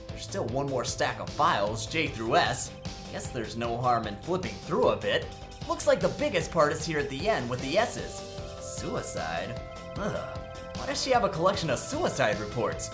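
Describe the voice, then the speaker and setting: A man playing a young defense attorney in a game fandub, earnest and incredulous with sarcastic quips. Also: a little high. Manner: sarcastic, quippy. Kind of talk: visual novel game fandub acting. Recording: music behind the voice.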